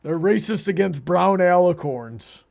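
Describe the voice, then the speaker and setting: A man hosting a livestream in casual conversation, talking haltingly and repeating words as he goes. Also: sits high, animated, creaky, darkish tone.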